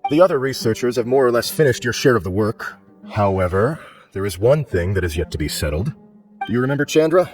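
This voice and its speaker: A man's voice: mid-range voice, animated, brisk, faint music behind, lightly acted. An actor playing a formal, stern but fair male research office supervisor in a video game. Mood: stern, businesslike.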